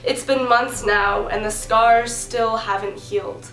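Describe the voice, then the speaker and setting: A young American woman recites spoken-word poetry in a fairly high voice. Her delivery is earnest and dramatic, built on deliberate pauses and repeated phrases. The room adds some echo, and soft music plays behind her. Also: fairly even intonation. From San Bernardino area, California, United States. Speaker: amateur spoken-word poet.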